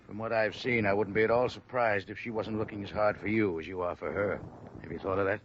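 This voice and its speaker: A slightly muffled vintage radio recording with faint noise features a man acting an American cab driver. His voice is fairly low, earnest and bitter, a loyal, protective fellow full of resentment in a fifties crime serial. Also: fairly even intonation, fast talker, natural voice. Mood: bitter, evasive. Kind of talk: 1950s radio drama acting.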